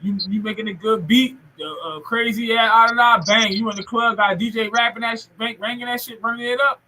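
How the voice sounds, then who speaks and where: A man's voice: very high-pitched, brisk, sharp tone, poor audio. A man in his forties hosting a podcast in casual, rambling, slangy and profane talk. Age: 40s. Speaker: podcast host. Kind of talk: casual interview chat.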